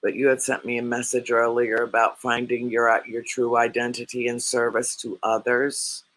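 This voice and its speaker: A man's voice: medium pitch, monotone, moderate pace, a bit bright, poor audio. A man co-hosting a reflective spiritual discussion, speaking hesitantly with frequent restarts. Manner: hesitant, with many restarts and fillers. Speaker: co-host.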